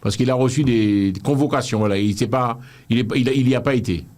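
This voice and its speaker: A man's voice: medium pitch, quick pace, a little mellow. A man hosting a casual interview, talking in a playful, teasing way and often repeating himself. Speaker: interviewer host.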